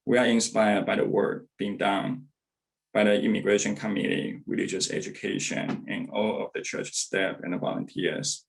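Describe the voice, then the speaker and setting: A man's voice: lowish voice, fairly even intonation, creaky, low-quality recording. A man in his thirties from Taiwan, reading a church testimonial carefully over a video call, with a few hesitations. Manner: careful, measured delivery with some hesitations. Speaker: church member giving testimonial.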